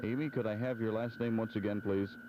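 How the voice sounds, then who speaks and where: An American man working as a 1970s pop radio DJ, running a phone-in giveaway and repeating details deliberately. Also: lowish voice, fairly even intonation. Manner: repeats and spells things out deliberately. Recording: noisy, dull old AM radio aircheck with hum.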